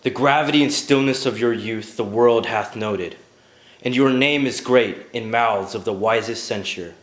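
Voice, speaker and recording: An actor playing a stern, dignified general, declaiming with authority in classical stage style. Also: male voice, mid-range voice, moderate pace, close to an everyday voice. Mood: stern questioning. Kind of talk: classical Shakespearean stage acting. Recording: echoey hall, faint noise.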